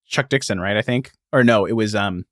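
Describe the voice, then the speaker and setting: A man's voice: on the low side, a little fast, fairly bright tone, no echo. Dry-recorded man explaining things conversationally. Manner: explanatory, interjects asides. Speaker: podcast host. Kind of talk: conversational history podcast with read-out quotes.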